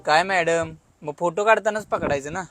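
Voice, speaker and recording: A man's voice: fairly high pitch, moderate pace, over loud music, close to an everyday voice. A man voicing a cheeky, lazy auto-rickshaw driver who wisecracks colloquially in a comedy cartoon. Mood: chatty, joking. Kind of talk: comic cartoon dialogue.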